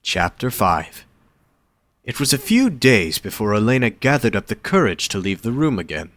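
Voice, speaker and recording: A man narrates a scripted story for an audio drama. His voice is fairly low, and the sound is dry, with no room around it. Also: very animated, normal speed, fairly bright tone, dry recording.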